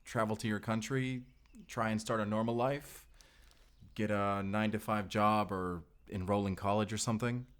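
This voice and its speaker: An actor playing an earnest, tender Spanish vampire slayer in an audio roleplay, speaking deliberately and intimately to the listener with a Spanish accent. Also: male voice, lowish voice, steady tone, smooth, lightly acted. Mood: warm, thoughtful. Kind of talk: cinematic audio roleplay acting.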